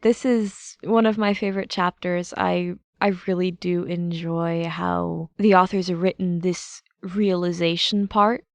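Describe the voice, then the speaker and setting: A woman reads fiction and comments on it reflectively. Her pitch sits in the middle range, and the sound is dry, with very little room around it. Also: no room sound. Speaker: podfic narrator.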